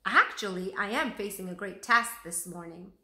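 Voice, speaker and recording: A woman's voice: medium pitch, moderate pace, sharp tone. A woman voicing an effusive, grandly eloquent male genius artist in a storybook read-aloud. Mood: pleased. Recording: roomy echo.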